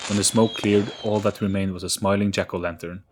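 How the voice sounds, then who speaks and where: A man's voice: fairly low pitch, steady tone, a little fast, heavy background noise. A man narrating a story as an audio play in a dramatic, scripted storybook style. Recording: faint music behind narration. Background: soft background music.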